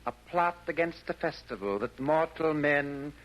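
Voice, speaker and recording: An actor in a 1940s radio verse comedy playing a formal, deferential messenger of hell, ceremonious in manner. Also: male voice, a little high, animated, measured pace, a bit bright, lo-fi sound. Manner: obsequious, ceremonious.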